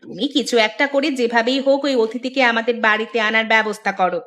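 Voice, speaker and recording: A woman's voice: a little high, fairly even intonation, a little fast, fairly bright tone, natural voice. A woman playing a cunning, greedy village wife who plots and coaxes in a theatrical cartoon manner. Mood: scheming. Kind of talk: Bengali cartoon dialogue.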